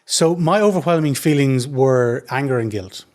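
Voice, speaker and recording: An Irish man of mid pitch speaks as a trauma surgeon in an interview. He talks in an earnest, measured way and often restarts his sentences as he thinks them through. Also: a little mellow. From Ireland. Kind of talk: reflective interview answers.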